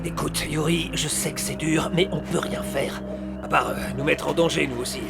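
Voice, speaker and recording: Man acting a high-voiced, wary mountain guide. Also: fairly even intonation, normal speed, vocal fry, loud music behind the voice. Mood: placating. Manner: blunt, cautioning. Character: seasoned male mountain guide, wary and firm. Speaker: voice actor. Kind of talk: French audio fiction acting.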